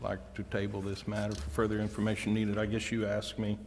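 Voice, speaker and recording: An American man making deliberate, halting remarks as a council member at a meeting. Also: fairly low pitch, monotone. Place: council chamber. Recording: echoey hall, faint noise.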